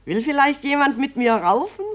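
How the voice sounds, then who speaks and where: A woman's voice: high voice, lively intonation, normal speed, fairly bright tone. An actress in a radio play voicing a merry, affectionate wife who fondly teases her drunkard husband while telling a tale. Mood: amused, fond. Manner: chuckling, affectionate storytelling.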